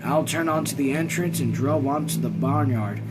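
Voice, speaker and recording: A man reading a novel aloud informally, halting now and then and repeating phrases. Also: mid-range voice, very noisy. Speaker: reader of a read-along video channel.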